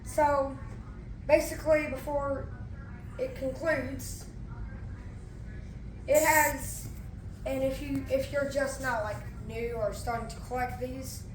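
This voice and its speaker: Someone casually showing off a product on video, explaining in a halting, rambling way. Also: high voice, steady tone. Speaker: presenter of own video. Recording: roomy, distant mic, loud background noise.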